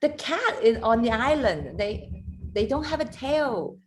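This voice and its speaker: A woman in her thirties from Hong Kong, a photographer and competition judge, talking on a video call in halting English, animated and laughing often. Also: on the high side, slight background noise. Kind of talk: casual video call interview.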